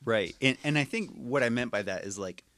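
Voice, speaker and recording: A man speaking as a podcast guest, thoughtful and hesitant, with many pauses and restarts. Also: medium pitch, expressive, fairly bright tone, no room sound. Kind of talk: casual conversation.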